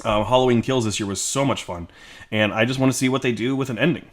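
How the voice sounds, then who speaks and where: A man's voice: mid-range voice, quick pace. A man co-hosting a podcast, talking casually and informally about movies. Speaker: podcast co-host.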